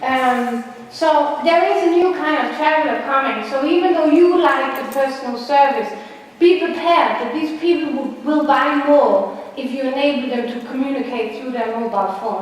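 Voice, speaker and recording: A woman's voice: sits high, moderate pace. A woman giving an informal conference presentation in a blunt, joking manner. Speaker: conference keynote speaker. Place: large conference hall. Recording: echoey hall, distant, some noise.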